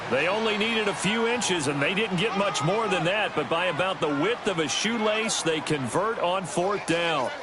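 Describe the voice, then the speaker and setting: A man's voice: high-pitched, moderate pace, hardly any pauses, close to an everyday voice. A man playing a football play-by-play announcer in a video game, with an American accent and clipped, alert calls. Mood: focused, upbeat. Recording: loud stadium game noise behind voice. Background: loud game ambience.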